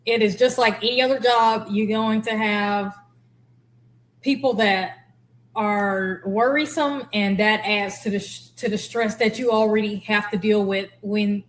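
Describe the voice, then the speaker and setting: A woman's voice: medium pitch, fairly even intonation, unhurried. A woman giving casual, candid explanatory commentary as a former air traffic controller.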